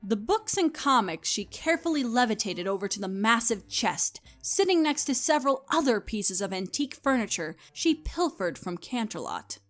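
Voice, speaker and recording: A woman reading scripted story narration as the narrator, in an expressive storytelling manner. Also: on the high side.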